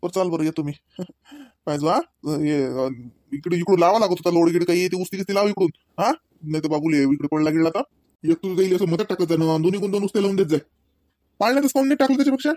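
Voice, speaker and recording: A man's voice: sits high, fast talker, a little mellow, dry recording, studio quality, natural voice. Man playing a chatty doting young dad, Varhadi accent. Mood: easygoing. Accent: Varhadi Marathi. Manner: chatty, folksy, fond. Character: doting, proud young father and caring husband. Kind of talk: homemade comedy cartoon dialogue.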